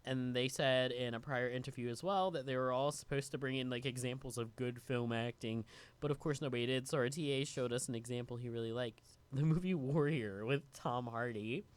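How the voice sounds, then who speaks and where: A man's voice: mid-range voice, fairly quick, smooth. Casual rambling male podcast co-host. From United States (raised in New York). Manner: relaxed, rambling, many "like" fillers. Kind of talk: casual chat, reading guest bio.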